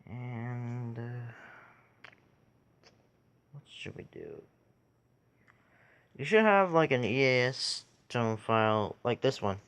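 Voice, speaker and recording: A man giving a casual how-to tutorial in a halting way, pausing between short phrases. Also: medium pitch, animated, a bit bright, clean tone. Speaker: tutorial video creator.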